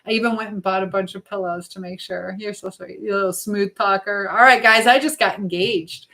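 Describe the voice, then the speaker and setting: A woman's voice: medium pitch, fairly even intonation, a little fast, pushed and bright. A woman hosting a casual live stream, talking in a chatty, affectionate way with viewers and reading their comments aloud. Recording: clear background noise with clicking sounds.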